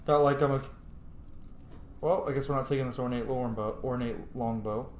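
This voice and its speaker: A man's voice: medium pitch, fairly even intonation, moderate pace. Casual man commentating in an echoey room. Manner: opinionated, informal reactions. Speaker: gamer narrating a playthrough. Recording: echoey room, faint game noise behind.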